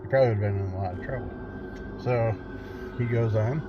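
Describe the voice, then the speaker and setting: A man's voice: on the low side, brisk, very noisy. A middle-aged man casually telling a spooky personal story in a conversational way, as a paranormal investigator. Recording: dull lo-fi sound under loud music. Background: loud music.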